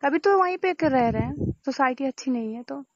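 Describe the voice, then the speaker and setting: High-voiced woman on a dull phone recording. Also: animated, fairly quick, no room sound. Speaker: caller in a private phone call. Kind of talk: casual private phone chat.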